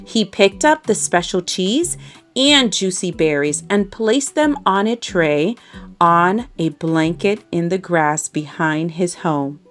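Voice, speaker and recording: A woman reads a children's story aloud with great expression. Her pitch moves in lively swoops while the pace stays slow and easy to follow, over soft background music. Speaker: storybook reader.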